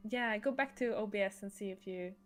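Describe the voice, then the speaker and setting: Mid-pitched woman hosting a livestream. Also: brisk, soft background music. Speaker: livestream host, game creator and author. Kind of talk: casual livestream chat, answering questions.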